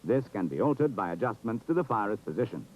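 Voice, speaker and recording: Formal British man narrating, lively intonation. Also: lowish voice, fast, breathy edge. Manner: formal, precise, authoritative. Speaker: training film narrator. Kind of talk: scripted instructional narration. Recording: dull, muffled 1970s film soundtrack.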